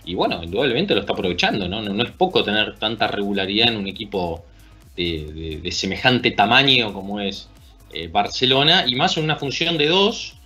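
A man's voice: fairly low pitch, big pitch swings, a little fast, bright, forceful tone, faint music behind. Thoughtful Argentine man talking basketball. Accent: Argentine Spanish. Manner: thoughtful, tag questions. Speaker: basketball analyst. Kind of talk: casual sports discussion. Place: small room at home. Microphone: video call.

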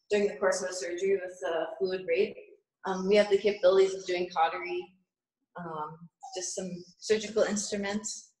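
A woman in her forties explains a working facility in an informal, matter-of-fact way. Her voice sits a little low. The hard-walled room adds a strong echo to the phone recording. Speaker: research facility staff guide. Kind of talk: explanatory virtual facility tour. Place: indoor tiled surgery and recovery rooms. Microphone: handheld phone a few feet away.

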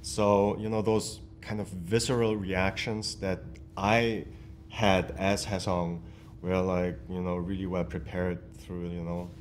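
A man from Germany with a very deep voice answers interview questions thoughtfully, filling pauses with ums. He sits in a studio for a press interview, with a faint rumble underneath. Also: flat delivery. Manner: reflective, hesitant with ums. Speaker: actor interviewee. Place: studio set.

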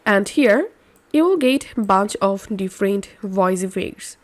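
A woman presenting a scripted step-by-step software tutorial in an instructional manner with simple phrasing. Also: medium pitch, animated, slow pace.

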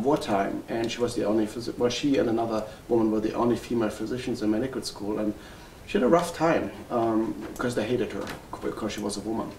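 A man's voice: fairly low pitch, a little mellow. A man in his forties with a German accent, a family doctor telling his story in an interview, earnest and full of ums and restarts. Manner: earnest, many ums and self-corrections. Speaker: family physician. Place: wood-paneled room indoors. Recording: roomy, slightly distant, faint hiss.

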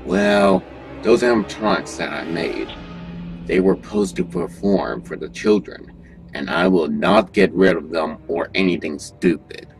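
A man acting an evasive, sinister restaurant owner with halting delivery in an amateur horror audio drama. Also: low voice, big pitch swings, gravelly, soft background music, heavily acted. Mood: defensive, dismissive. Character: evasive, sinister male animatronic restaurant owner hiding murders.

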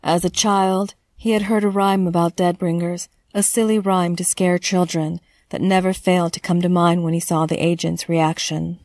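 Woman narrator, lowish voice, measured dramatic delivery, horror audiobook, dry studio-like sound. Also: little pitch movement, darkish tone, dry recording. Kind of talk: scripted horror story narration.

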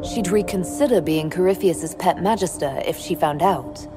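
An actress playing a young elf heroine in a video game, questioning in a measured, firm, commanding way. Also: female voice, lowish voice, over loud music, close to an everyday voice. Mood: probing. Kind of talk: video game dialogue acting.

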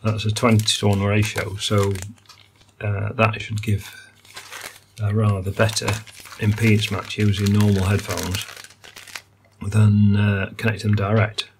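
A man's voice: on the low side, monotone, unhurried, gravelly, noisy background. An older man musing through a hands-on repair of vintage gear at his workbench, talking technically with many ums and uhs. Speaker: electronics repair hobbyist. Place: workbench indoors.

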